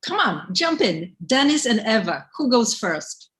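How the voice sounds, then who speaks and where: A woman's voice: fairly high pitch, very animated, measured pace, a bit bright. A woman professor hosting a live online session, upbeat and encouraging, explaining ideas step by step over a video call. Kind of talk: lecturing and moderating introductions.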